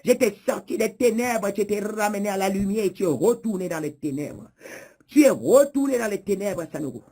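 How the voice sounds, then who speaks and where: A preacher delivering a prophetic denunciation as the voice of God, in a repetitive, accusatory, declamatory manner, with a husky, raspy voice. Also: medium pitch, lively intonation, normal speed, mellow. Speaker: self-proclaimed prophet speaking as God.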